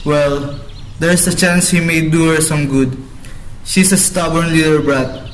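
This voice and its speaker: A man's voice: a little high, fairly even intonation, a little slow, natural voice, low-quality recording. A man playing a blustering middle-aged father who orders his household about in a radio drama. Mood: stern. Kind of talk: student radio drama acting. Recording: amateur recording with background noise.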